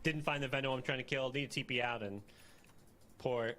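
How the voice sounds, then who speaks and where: A male esports analyst talks very quickly in an average-pitched voice. He gives conversational co-commentary on a live match, with clear noise and game ambience behind him. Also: fairly even intonation, fairly bright tone.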